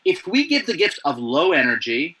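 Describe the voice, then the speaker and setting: A man being interviewed over a video call. He talks in a playful, animated way with many restarts. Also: on the high side, moderate pace, husky, rough recording. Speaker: guest, presenter coach. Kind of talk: remote interview chat.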